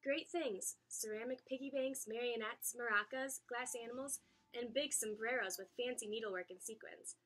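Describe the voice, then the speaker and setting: A woman reading a children's story aloud in an expressive, animated way, acting out the dialogue. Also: on the high side, normal speed, poor audio. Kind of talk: expressive read-aloud narration.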